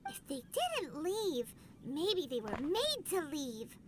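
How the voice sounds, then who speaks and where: Squeaky, childlike fairy sidekick. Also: female voice, wildly swooping pitch, slow pace, a little hiss or hum, heavily acted. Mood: puzzled. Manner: inquisitive, exclamatory. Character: small childlike floating fairy companion, curious, chirpy.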